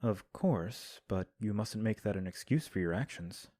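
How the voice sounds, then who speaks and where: A man playing a calm, formal young prince. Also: fairly low pitch, normal speed, dry recording, studio quality. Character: composed young male prince giving counsel. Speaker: voice actor. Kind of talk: audio drama acting. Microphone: home recording.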